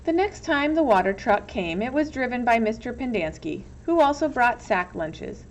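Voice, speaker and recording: A woman's voice: fairly high pitch, expressive, moderate pace. A woman reading a children's novel aloud as storybook narration. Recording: dull, lo-fi with some background noise.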